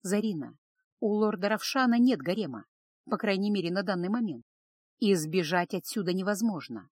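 A woman voicing a world-weary, consoling harem woman in an audiobook, gentle and measured. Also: mid-range voice, expressive, dry recording, clean studio sound, natural voice. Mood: reassuring, firm.

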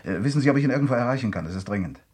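Actor as a quick, troubled businessman. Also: medium pitch, animated, a little mellow, a little hiss or hum, natural voice. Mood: urgent. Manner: polite, clipped questions. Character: preoccupied, courteous businessman, quietly urgent and troubled. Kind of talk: German radio crime drama acting.

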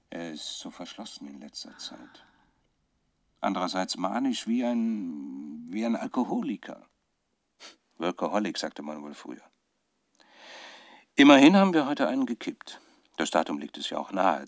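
A man's voice: low-pitched, creaky, no echo, exaggerated voice. An actor playing a male scientist who keeps a troubled, fervent spoken research diary in a radio drama, often searching for words. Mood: brooding, musing. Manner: halting, searching for words, dramatic shifts from musing to fervour. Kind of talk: radio drama monologue as dictated audio diary.

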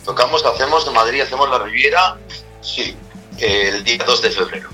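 A middle-aged man from Spain with a rough, cracked voice, talking casually as a rock singer being interviewed, rambling with many restarts and tag questions. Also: medium pitch, normal speed, sharp tone, soft background music, rough recording.

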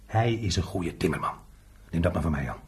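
Husky, low, animated arguing man. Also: fairly quick, heavily acted. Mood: insistent. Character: argumentative man, stubbornly defending a comrade. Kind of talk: Dutch radio play acting.

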